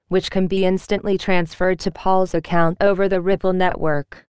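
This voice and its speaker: A woman narrating an article in a steady, even reading voice. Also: lowish voice, smooth, dry recording. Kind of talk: read-aloud article narration.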